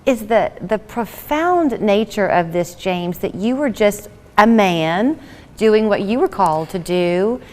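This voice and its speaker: A woman's voice: medium pitch, expressive, takes it slowly, darkish tone. A woman hosting a conversational morning television interview in an earnest, reflective way. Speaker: television host. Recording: reverberant, echoing room sound.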